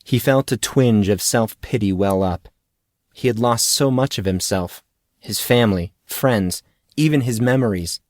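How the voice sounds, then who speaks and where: A man's voice: lowish voice, a little slow, darkish tone, no echo. Man narrating fiction, dry close sound. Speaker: audiobook narrator.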